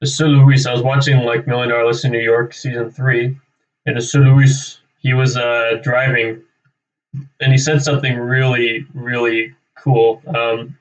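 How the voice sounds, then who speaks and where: Young guy rambling on a livestream, thinking out loud, says right? a lot, sounds kinda far from the mic and a bit muffled. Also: medium pitch, level tone, unhurried. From Canada. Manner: rambling, thinking aloud, tags sentences with "right?". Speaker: realtor hosting a live cold-calling stream. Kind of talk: casual off-the-cuff livestream chat. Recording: distant, slightly dull microphone.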